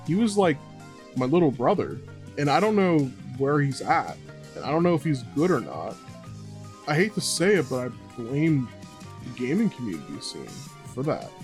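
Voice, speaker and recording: A man speaking earnestly and colloquially in a personal video essay, as the creator narrating it. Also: mid-range voice.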